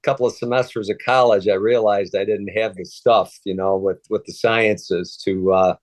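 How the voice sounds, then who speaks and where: A man in his sixties from Chicago, a native Polish speaker, talking in a relaxed, hesitant way with many fillers and restarts as an interview guest. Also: on the low side, normal speed, dry recording. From Chicago, USA. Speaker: interview guest, retired Secret Service agent. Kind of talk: casual conversational interview.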